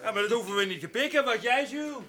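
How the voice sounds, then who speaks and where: A man's voice: very high voice, lively intonation, fast, a crowd in the background. A male actor playing a discontented, complaining grape-picker in a radio drama. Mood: grumbling. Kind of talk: Dutch radio drama acting.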